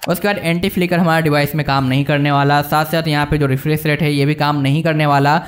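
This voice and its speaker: A man with an Indian accent hosts a tech review in a voice pitched a little high. He explains each feature informally, step by step, and addresses the viewers directly as he narrates. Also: fairly even intonation, quick pace, a little hiss or hum. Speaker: tech reviewer and channel host. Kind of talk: casual screen-walkthrough narration of a phone software review.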